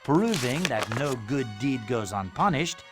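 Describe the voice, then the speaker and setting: A dramatic narrator telling a cartoon adventure. His mid-range voice is theatrical and mock-grave, reading scripted lines over clearly audible music. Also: medium pitch, very animated, unhurried.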